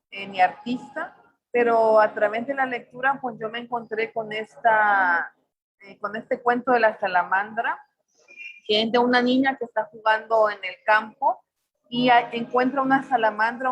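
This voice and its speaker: A woman in her forties with a Salvadoran accent softened by Mexican tones, reflecting aloud as she finds her words. Her voice sits on the high side and comes through a slightly muffled video call line. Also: fairly even intonation, moderate pace. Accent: Salvadoran Spanish mixed with Mexican. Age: 40s. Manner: thoughtful, searching for words with frequent fillers. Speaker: poet on a panel. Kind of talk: reflective personal talk.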